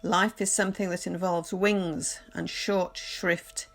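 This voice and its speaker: A woman reading poetry aloud in a measured, literary recitation, deliberately pausing phrase by phrase. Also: lowish voice, flat delivery. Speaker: poetry reader. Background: music.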